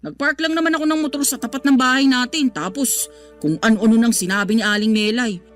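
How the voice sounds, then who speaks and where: A woman's voice: fairly high pitch, fairly even intonation, fairly quick, lightly acted. A woman playing a feisty, cheeky young adult, fiercely loyal to family, in a radio drama. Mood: apologetic, stubborn. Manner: sassy, teasing back-talk. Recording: music underneath.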